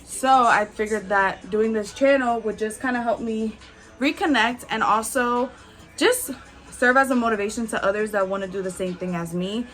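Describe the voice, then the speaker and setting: Chatty young woman vlogger, soft music. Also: fairly high pitch, normal speed. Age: 20s. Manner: chatty and candid, with frequent "like" and soft laughs. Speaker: beauty vlogger. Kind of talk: casual chat while doing makeup.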